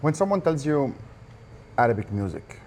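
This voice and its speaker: A man's voice: medium pitch, big pitch swings, normal speed, darkish tone. A man hosting an interview, asking questions in a conversational way. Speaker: interviewer, host. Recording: faint background ambient noise.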